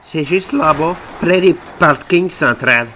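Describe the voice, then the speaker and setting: A man's voice: fairly high pitch, measured pace, sharp tone, a little hiss or hum. A man improvising game roleplay banter in a cheeky, swearing, provocative way on a gaming microphone. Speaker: video creator playing a prankster in online roleplay.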